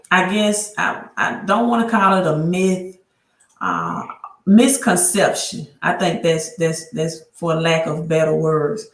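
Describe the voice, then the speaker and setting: A woman's voice: lowish voice, roomy sound. A woman hosting a casual credit livestream from her laptop, chatty and often restarting her sentences. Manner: chatty, restarts often, talks while fixing tech. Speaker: credit educator and livestream host. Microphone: laptop mic.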